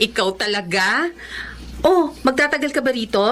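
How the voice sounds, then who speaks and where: Kindly lady teacher, highish n very expressive, modest, pinoy radio drama. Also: measured pace, full character voice. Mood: affectionate. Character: kind, modest, devoted woman schoolteacher. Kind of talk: Filipino radio drama acting. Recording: faint background noise.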